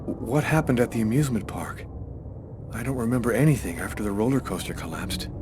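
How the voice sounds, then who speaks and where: A shaken, hunted man from a supernatural thriller game played by an actor. He speaks warily and haltingly at an average male pitch, with a defiant edge. Tense music sits clearly behind him. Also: animated, close to an everyday voice. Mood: suspicious, questioning. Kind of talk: video game voice acting. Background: scary music.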